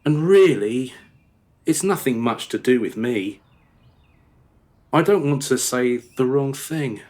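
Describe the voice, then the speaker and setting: A man playing an uneasy, evasive uncle in a radio play, with a halting, reluctant manner. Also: mid-range voice, animated, darkish tone.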